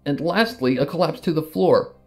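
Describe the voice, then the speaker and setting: A man's voice: on the high side, normal speed. A man reading a scripted story in the first person as a dramatic narration. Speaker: fan fiction narrator. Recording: guitar music behind the voice. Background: guitar and plucked string music.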